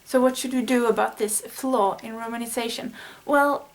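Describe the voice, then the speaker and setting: A woman's voice: on the high side, steady tone, normal speed, poor audio. A Swedish-speaking woman explaining language study in a casual, earnest vlog lesson, thinking aloud with small fillers. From Sweden. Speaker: language learning vlogger.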